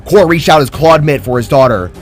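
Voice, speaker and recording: A man's voice: fairly high pitch, expressive, fairly quick, soft background music. A man reading a scripted fantasy story as a dramatic narrator.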